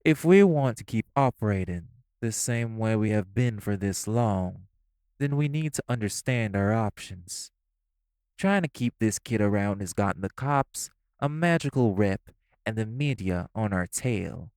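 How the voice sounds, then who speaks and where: A man voicing hostile crime bosses in an audio roleplay drama, profane and confrontational, with soft music behind. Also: fairly low pitch, lively intonation, unhurried, clear, resonant tone, no echo, studio quality. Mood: sneering. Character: hostile male crime bosses, magic gangsters.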